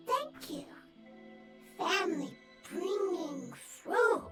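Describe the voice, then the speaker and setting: A little elemental creature for a game, painfully slow and halting. The voice is extremely high and anxious, with broken phrases, set far back under loud music. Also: female voice, lively intonation, heavily acted. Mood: grateful, halting. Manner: halting broken phrases of one to three words. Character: small elemental life form, halting, anxious, grateful creature. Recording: loud music behind, distant sound.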